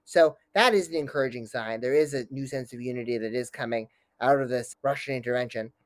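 Male expert guest, rapid analytical delivery with stumbling self-corrections, dry recording. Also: medium pitch, dry recording. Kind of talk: fast analytical interview commentary.

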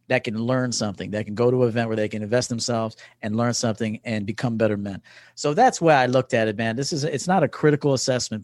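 A man's voice: medium pitch, darkish tone, no echo, studio quality. A man in his early forties talking casually and emphatically as a guest coach in an interview. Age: early 40s. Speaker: podcast guest, men's coach. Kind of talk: casual conversational interview talk.

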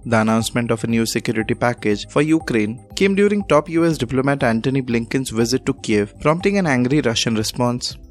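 A man with an Indian accent reading scripted news as a presenter in a formal, measured manner. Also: medium pitch.

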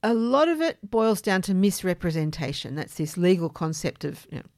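A woman hosting a podcast, explaining things in a measured way with informal asides. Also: on the low side, sing-song, darkish tone, no echo, clean studio sound. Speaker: podcast host.

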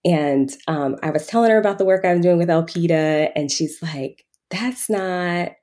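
A woman giving reflective answers as an interview guest, speaking in a halting, conversational way as she works out her thoughts. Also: lowish voice, warm tone. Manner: halting, thinking aloud, frequent hedging with "kind of" and "like". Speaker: podcast guest, financial coach.

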